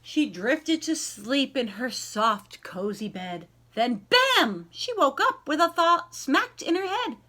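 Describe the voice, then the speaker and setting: Animated woman reading a picture book aloud. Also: high-pitched. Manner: animated, pausing to ask young listeners questions. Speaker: librarian reading aloud to children. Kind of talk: expressive children's picture-book read-aloud.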